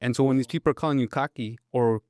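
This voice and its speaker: A man's voice: medium pitch, quick pace, darkish tone, dry recording, clean studio sound. A young man, interviewing casually in blunt, slangy English mixed with Spanish. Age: young adult. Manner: slangy, blunt, frequent "bro" and "dude". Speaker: podcast host, interviewer.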